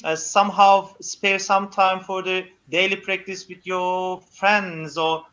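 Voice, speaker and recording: A man hosting a live practice stream, speaking haltingly with many fillers as he welcomes and questions a guest. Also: sits high, level tone, sharp tone. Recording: dull lo-fi stream audio.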